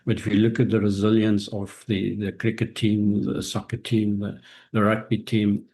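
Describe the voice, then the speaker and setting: A man's voice: on the low side, monotone, normal speed, dark tone. A South African man, a native Afrikaans speaker, answering interview questions reflectively, with frequent restarts and hesitations. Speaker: guest, author and business strategist.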